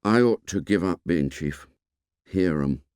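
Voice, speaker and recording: A man doing a flat, weary boy leader. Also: sits low, measured pace, clear, resonant tone, dry recording, studio quality, voice pushed down. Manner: flat, subdued, hesitant.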